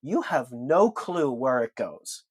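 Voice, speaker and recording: A man's voice: medium pitch, big pitch swings, slow, husky, dry recording, studio quality. A man co-hosting a podcast, laying out his argument point by point with emphasis. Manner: emphatic, builds arguments in lists. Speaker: podcast co-host.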